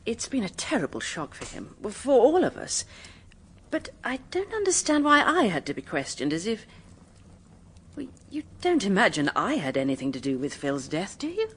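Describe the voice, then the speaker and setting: A woman's voice: mid-range voice, huge pitch swings, moderate pace, husky. An actress playing a well-bred, evasive British married woman in a radio drama, polite with anxiety under the poise. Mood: anxious.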